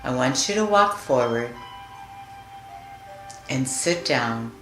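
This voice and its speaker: A woman leading a guided meditation in a soothing, deliberate manner, leaving long pauses between phrases. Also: very deep voice, expressive. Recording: roomy echo with music behind. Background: music.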